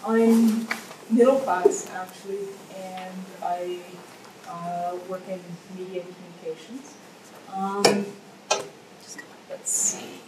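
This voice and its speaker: A woman in her forties speaks thoughtfully and hesitantly, pausing on frequent ums. Her voice is mid-pitched. She is caught from a distance in an echoing room over noticeable background noise. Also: fairly even intonation. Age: 40s. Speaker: workshop participant. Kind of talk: casual personal sharing. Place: conference workshop room. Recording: echoey room, distant mic, noisy.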